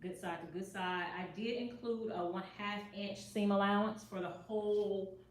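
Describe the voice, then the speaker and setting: A woman hosting a casual sewing tutorial from her sewing office, chatting and thinking out loud as she works and moving nearer to and farther from the mic. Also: medium pitch, moderate pace. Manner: chatty and relaxed, thinking aloud while working; moves around the room, voice nearer and farther from the mic. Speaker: sewing channel host. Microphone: added mic, camera across the room. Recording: roomy echo, faint background hiss.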